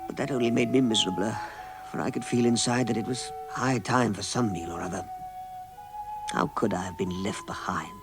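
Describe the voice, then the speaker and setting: An actor playing a timid, genteel hobbit in a vintage radio drama, fussy and flustered. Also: male voice, on the low side, brisk, over music, lightly acted. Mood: miserable, worried. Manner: fussy, flustered, stammering, exclaiming. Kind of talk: vintage radio drama acting, first-person recollection.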